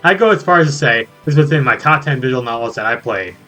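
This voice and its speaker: A man's voice: mid-range voice, animated, quick pace, pushed and bright. A man narrating a scripted video game review in an enthusiastic, jokey and exclamatory manner. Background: music under the voice.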